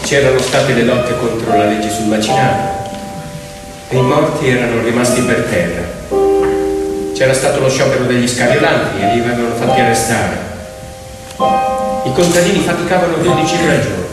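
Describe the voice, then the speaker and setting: A man's voice: mid-range voice, moderate pace, long pauses, distant mic. A man telling a story theatrically as a stage actor, in an emphatic, declamatory manner with rhythmic repetition. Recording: echoey hall from afar, loud music. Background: loud piano music.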